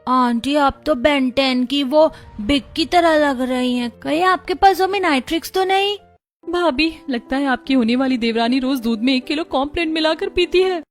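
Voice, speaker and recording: Casting: female actor as cheeky cartoon child, high exclaiming voice, music bed. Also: normal speed, lightly acted. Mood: cheeky, amazed. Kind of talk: Hindi family cartoon dubbing.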